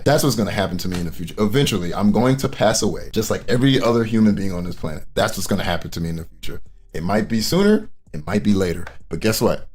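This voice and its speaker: A man doing casual, profane reaction commentary, blunt and mocking, often repeating himself for emphasis. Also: a little mellow. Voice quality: deep voice. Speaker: commentary YouTuber reacting to clips.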